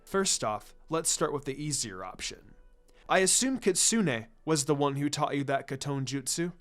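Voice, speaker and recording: A man voicing a serious adult ninja mentor in a fan fiction reading, calm, stern and deliberate as he explains. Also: fairly high pitch, soft background music.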